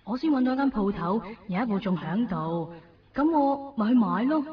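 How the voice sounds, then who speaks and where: A woman's voice: mid-range voice, animated, moderate pace, close to an everyday voice. An actress playing a devoted, sentimental young woman in a Cantonese radio drama, earnest and emotional, with a Hong Kong accent. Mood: tender, nostalgic. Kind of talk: 1990s Cantonese radio drama acting with first-person narration. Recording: distant, dull radio recording with music.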